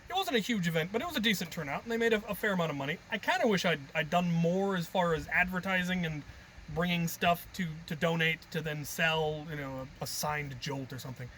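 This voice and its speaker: A man with a fairly high, very bright voice hosts a casual hobby vlog. He rambles and thinks aloud, joking at his own expense. A faint hum of background noise sits behind him. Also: fast. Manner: self-deprecating, jokey, hesitant thinking aloud. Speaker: hobby video creator and host.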